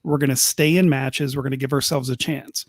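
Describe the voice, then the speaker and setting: A man co-hosting a soccer podcast, laying out an argument in quick bursts and repeating words as he builds it. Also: medium pitch, steady tone, dry recording, studio quality. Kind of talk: casual analytical chat.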